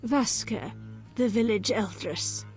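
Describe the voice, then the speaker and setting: Female village elder, fairly high pitch, grave, cryptic, measured archaic phrasing, video game dialogue, faint music. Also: a little mellow. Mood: solemn, wary.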